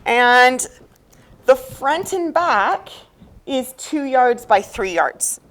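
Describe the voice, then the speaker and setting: A woman's voice: high-pitched, expressive, very slow, fairly bright tone. A woman teaching a math class, explaining step by step and often checking understanding with questions.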